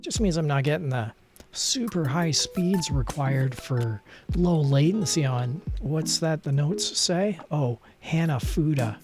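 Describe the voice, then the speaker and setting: Soft-spoken rambling male streamer, music behind. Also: fairly high pitch, lively intonation, slow. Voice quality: soft, not gravelly at the moment. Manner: rambling, self-deprecating, chatty asides to the game. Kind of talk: casual live-stream chat while playing.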